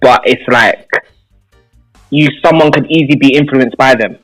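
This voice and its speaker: A man's voice: medium pitch, normal speed, fairly bright tone, low-quality recording. Young man chatting, music behind. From London, England. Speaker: radio guest. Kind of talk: casual reflective interview chat. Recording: background music under the talk.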